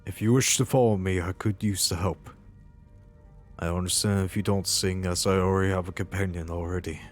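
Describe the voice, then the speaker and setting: A man's voice: deep voice, fairly even intonation, a little fast, faint music behind. A man playing a humble, earnest warrior hero in a fantasy game roleplay, speaking hesitantly and sincerely. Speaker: roleplay player.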